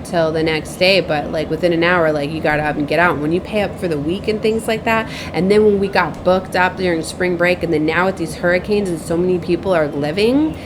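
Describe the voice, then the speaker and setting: A woman with a lowish voice talks casually, as in a personal spiritual vlog. She rambles and repeats herself, tagging phrases with little checks for agreement. Clear ambient noise with a vehicle-like rumble runs behind her. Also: fairly even intonation, a little fast, few pauses. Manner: rambling, repetitive, frequently seeks agreement with tag questions. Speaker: spiritual advisor and tarot reader, host.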